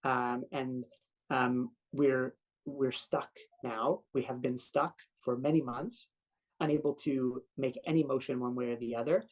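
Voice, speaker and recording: A man, a professor, answering an interview thoughtfully with pauses and restarts. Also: medium pitch, fairly even intonation, poor audio.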